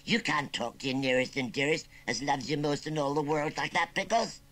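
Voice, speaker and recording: A man voicing a rustic, sentimental old scarecrow uncle with a West Country accent, rambling and plaintive, in a raspy storybook voice. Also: fairly high pitch, very animated, moderate pace, fairly bright tone, voice pushed up, exaggerated voice. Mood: indignant. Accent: English West Country.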